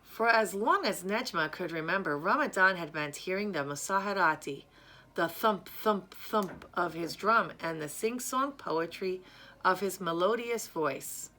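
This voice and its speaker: Bright-voiced woman reading a children's story. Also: fairly low pitch, lively intonation, measured pace. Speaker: storyteller reading a picture book. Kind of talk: read-aloud children's story narration.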